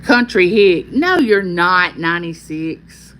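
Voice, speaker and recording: Calm Southern woman chatting on a livestream. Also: on the low side, slow pace. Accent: Southern US, Arkansas. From California. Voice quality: calming voice. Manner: reads viewer comments aloud and answers them warmly by name. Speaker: livestream host. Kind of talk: casual livestream chat.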